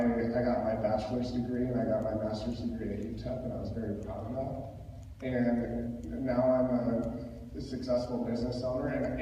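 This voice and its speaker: A middle-aged American man speaks at a forum in a mellow voice, often doubling back on his words. He is far from the microphone in a very echoey hall. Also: medium pitch, lo-fi sound, slight background noise. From rural Minnesota, United States. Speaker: school board applicant. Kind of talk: answering at a public forum. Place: large echoey room at public forum.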